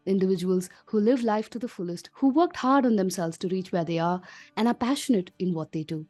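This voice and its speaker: A woman's voice: medium pitch, a little fast, mellow, soft background music. A woman hosting a podcast, reading a polished intro and then interviewing a guest. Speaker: podcast host, coach.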